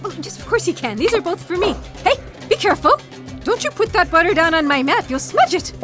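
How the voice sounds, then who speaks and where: An actress plays a spirited woman paleontologist in a radio adventure. Her high voice swoops around as she teases and complains. Faint music plays behind her. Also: full character voice. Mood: exasperated. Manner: animated, complaining, quick to laugh. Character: exasperated, teasing woman paleontologist on desert search. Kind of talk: audio theatre acting.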